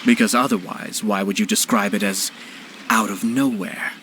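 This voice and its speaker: An actor playing a composed, dutiful nobleman and commissioner in a video game, speaking in a formal, measured, eloquent way. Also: fairly low pitch, steady tone, a bit bright, a little hiss or hum, lightly acted. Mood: coolly challenging. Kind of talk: scripted game dialogue.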